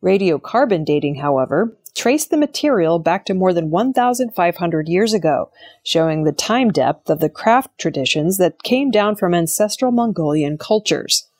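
A woman's voice: lowish voice, animated, moderate pace, a little mellow. A woman news presenter reading scripted news narration.

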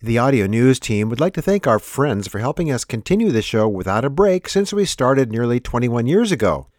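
Animated male announcer, dry close sound. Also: mid-range voice, brisk, dry recording. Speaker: program announcer. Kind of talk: scripted announcement.

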